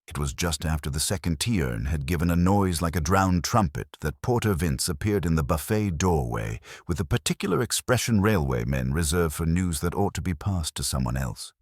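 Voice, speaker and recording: Very deep-voiced man narrating a mystery. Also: animated, normal speed, few pauses, no echo, studio quality. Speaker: audiobook-style narrator. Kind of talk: scripted literary mystery narration.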